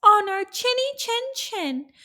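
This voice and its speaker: A small fairy-tale piglet, pitched far up into a squeal. The delivery is loud, emphatic and defiant, made for a children's storybook. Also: female voice, sing-song, slow pace, heavily acted. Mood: frightened defiance.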